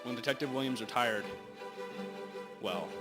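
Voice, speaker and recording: A man's voice: mid-range voice, normal speed, natural voice. A young man playing the storyteller of a detective radio drama, reading the script with occasional stumbles. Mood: reminiscing. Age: teenager or young adult. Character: male storyteller recalling an old detective case. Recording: loud music over amateur recording.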